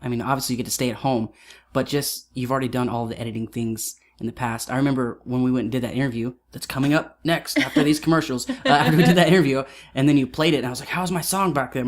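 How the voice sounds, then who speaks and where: A woman's voice: extremely low pitch, fast. A woman hosting a podcast in casual interview chat, joking and laughing often, with stammering restarts. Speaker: podcast host.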